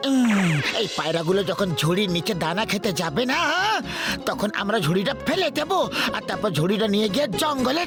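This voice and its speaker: A man's voice: sits high, animated, brisk. A man playing a sensible, scheming cartoon sidekick who explains and mildly scolds. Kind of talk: cartoon dubbing. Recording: music behind the voice.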